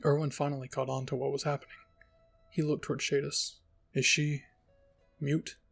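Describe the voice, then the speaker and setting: A man's voice: mid-range voice, little pitch movement, quick pace, gravelly, faint music behind. A man narrating a first-person fan-fiction story as a roleplay reading. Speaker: story reader.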